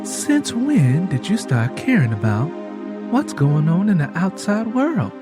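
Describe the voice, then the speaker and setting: A man's voice: high-pitched, big pitch swings, unhurried, over music, pitched above the performer's own voice. A man voicing a plain-spoken, contented sharecropper wife and mother in an audio story, with a folksy manner. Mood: questioning.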